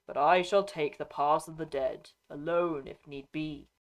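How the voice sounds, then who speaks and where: A woman's voice: low voice, fairly even intonation, unhurried, rough recording. A woman narrator takes on a grown lord and ranger from a fantasy storybook. The delivery is stern and resolute, solemn in its old-fashioned, formal wording. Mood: calm, determined. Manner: solemn, firm, archaic formal phrasing. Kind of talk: dramatic audiobook character reading.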